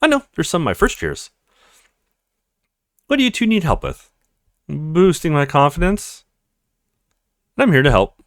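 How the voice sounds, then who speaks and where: A man's voice: a little high, huge pitch swings, a little slow, long pauses, dry recording, clean studio sound, pitched above the performer's own voice, heavily acted. A man voicing a smug, suave, teasing female professor in a visual novel. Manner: suave, needling. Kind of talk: visual novel character reading.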